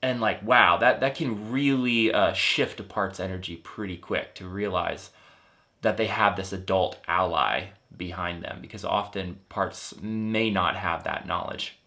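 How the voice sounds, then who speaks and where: Gentle, bright-toned man in his thirties. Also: sits low, measured pace, gravelly. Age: 30s. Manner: gentle, earnest, with fillers and restarts. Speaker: life coach. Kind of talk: explanatory teaching talk.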